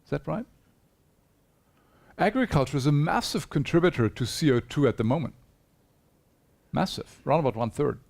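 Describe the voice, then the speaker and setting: A man's voice: on the high side, sing-song, moderate pace, creaky, pauses often, darkish tone. A man giving a conference presentation in a hall, earnest and persuasive, putting rhetorical questions to his audience. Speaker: conference speaker.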